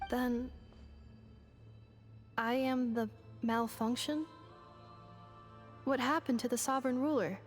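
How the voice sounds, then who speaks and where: A woman voicing a gentle, hesitant female robot with lost memory in a video game, speaking in questioning phrases. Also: on the high side, fairly even intonation, takes it slowly, pauses often, faint music behind. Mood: uncertain.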